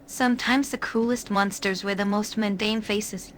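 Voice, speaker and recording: A sly, seductive female dark creature from a fantasy game, voiced by a woman, speaks at a middling pitch. She is ancient, cruel and elegantly teasing. Faint game ambience sits behind her. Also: steady tone, moderate pace, a little hiss or hum, close to an everyday voice. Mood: sly, sinister. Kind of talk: in-character game companion dialogue.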